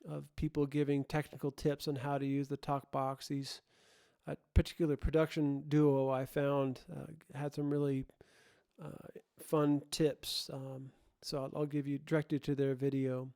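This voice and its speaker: A man's voice: medium pitch, level tone, normal speed, vocal fry, dark tone, dry recording, studio quality. A man presenting an informal tutorial, thinking aloud and often correcting himself as he explains. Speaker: host, audio production tutorial presenter.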